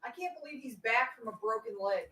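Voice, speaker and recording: A woman making chatty, quick casual remarks while watching a football game. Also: a little high, sharp tone, distant mic. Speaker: viewer chiming in beside the host. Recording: echoey room, off the mic.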